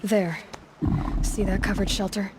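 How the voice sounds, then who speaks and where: A woman's voice: medium pitch, fairly even intonation, measured pace, noisy background, natural voice. A woman playing a self-assured young warrior in a video game, speaking in a terse, controlled, confident way. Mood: focused. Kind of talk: cinematic video game acting.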